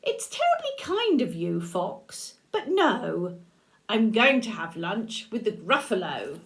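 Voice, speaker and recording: A grandmother voicing a small, quick-witted brown mouse in a storybook reading. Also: medium pitch, wildly swooping pitch, heavily acted. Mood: polite.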